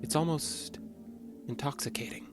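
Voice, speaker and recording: An actor playing a controlled, secretly murderous male therapy patient in an audio drama, speaking in a deliberate, halting, guarded way. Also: fairly high pitch, in a whisper, close to an everyday voice. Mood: brooding, intense. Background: music.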